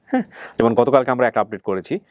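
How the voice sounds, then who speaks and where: A Bangladeshi man hosts an interview in a quick, explanatory way. His voice is mid-pitched and recorded dry and close. He probes by rewording his questions. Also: expressive, no room sound. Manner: explanatory, probing with repeated rephrased questions. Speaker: show host. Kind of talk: conversational interviewing on a family reunion show.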